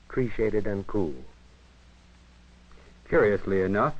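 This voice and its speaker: An American man narrating a 1950s educational film in a measured, formal, literary manner. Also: fairly low pitch. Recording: dull 1958 film soundtrack, radio-like.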